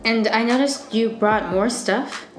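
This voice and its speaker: A woman's voice: a little high, a little slow, natural voice. An actress playing a stressed young female student in a radio thriller, guarded, moody and intense, with a blunt, dramatic manner. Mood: tense, blunt. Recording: echoey room, prominent music underneath.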